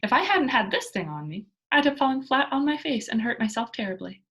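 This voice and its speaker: A woman's voice: a little high, very animated, a little fast, a bit bright. A woman voicing a bold, cheerful young girl adventurer from a children's storybook, with a breezy, boastful manner. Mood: matter-of-fact. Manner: breezy, boastful, matter-of-fact. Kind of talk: children's storybook character read aloud.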